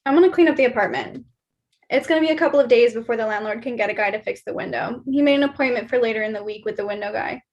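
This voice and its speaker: A woman's voice: a little high, very fast, muffled recording, natural voice. A woman acting a breezy, coaxing young roommate with casual chatter in a naturalistic stage play reading. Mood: coaxing, upbeat.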